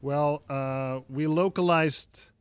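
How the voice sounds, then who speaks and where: A man chatting on a livestream as a game developer guest, casual and hedging, often halting mid-sentence. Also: on the high side, steady tone, clear, resonant tone.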